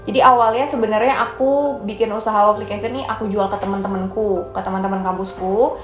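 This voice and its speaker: A woman's voice: medium pitch, fairly even intonation, fast talker. Chatty young woman in an echoey room. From Indonesia. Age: 20s. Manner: chatty, upbeat, mixes in English phrases. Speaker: brand founder answering viewer questions. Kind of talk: casual chat. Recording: echoey room with soft music.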